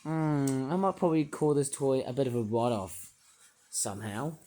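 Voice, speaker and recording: A man reviewing toys in a rambling, playful vlog, his voice not fully well and breaking into sudden excited outbursts. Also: mid-range voice, moderate pace. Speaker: toy reviewer. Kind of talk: casual toy review vlog.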